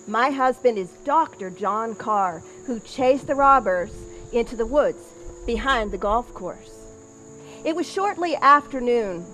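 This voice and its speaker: A woman playing a doctor's wife of the 1910s, giving a deliberate eyewitness account as a scripted historical monologue. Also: fairly high pitch, animated, a bit bright, soft background music, poor audio. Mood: recounting. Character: doctor's wife recounting witnessing a robbers' chase in 1910s.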